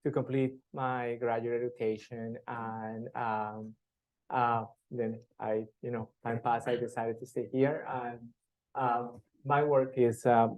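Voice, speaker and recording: A man from Colombia, a patient advocate, answering questions at a live panel in a halting way with many pauses and fillers. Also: mid-range voice, warm tone, poor audio. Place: event room with audience.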